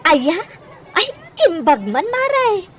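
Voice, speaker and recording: A high-pitched, wildly swooping gossip of a moneylender with an Ilocano accent. She gushes and flatters in radio comedy style. She draws her words out slowly, with crowd noise behind her. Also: full character voice. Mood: chatty. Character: gossipy, flattering woman moneylender, an old friend. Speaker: radio drama actress.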